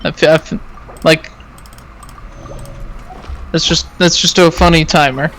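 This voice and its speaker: A man's voice: on the high side, steady tone, unhurried, very noisy. A man chatting casually on a game stream, rambling and often repeating himself. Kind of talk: casual gaming chat.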